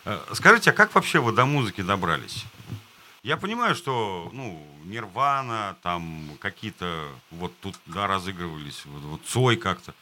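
A man's voice: deep voice, big pitch swings, normal speed, bright, forceful tone, slight background noise. A male host casually interviewing band members, asking joking questions.

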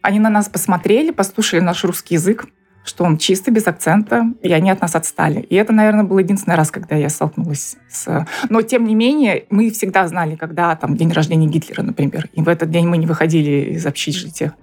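Very fast, husky woman storyteller. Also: on the low side, a little mellow. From Ulan-Ude, Buryatia, Russia. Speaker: podcast interviewee. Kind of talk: casual personal storytelling.

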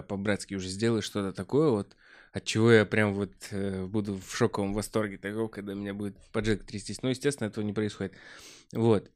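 Low-pitched man in slangy casual chat. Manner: slangy, opinionated. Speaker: podcast guest.

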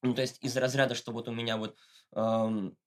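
A man's voice: mid-range voice, little pitch movement, fairly quick. A man giving a casual, slangy and ironic interview as a podcast guest. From Russia, Moscow. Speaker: interview guest, satirical blogger.

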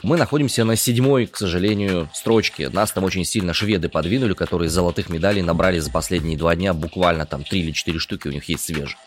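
A man hosting a news podcast, chatting through the day's stories in a relaxed conversational way. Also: quick pace, hardly any pauses, a little hiss or hum. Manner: lively, chatty, rises in pitch for emphasis.

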